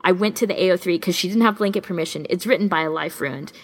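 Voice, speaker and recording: A woman's voice: fairly low pitch, fast. A woman co-hosting a casual podcast, chatting enthusiastically like a keen fan. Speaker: podcast co-host.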